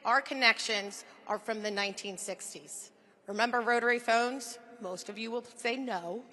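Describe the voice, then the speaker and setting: Female presenter, bright, clear explanatory read, hall reverb. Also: a little high. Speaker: program presenter. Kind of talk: scripted public presentation.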